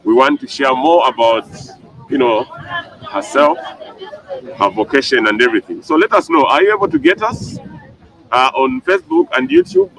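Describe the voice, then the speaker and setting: A man's voice: fairly high pitch, creaky, low-quality recording. A man interviewing a new sister outdoors for a live stream, talking with many fillers and restarts. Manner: hesitant, filler-heavy, animated. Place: outdoors at a celebration.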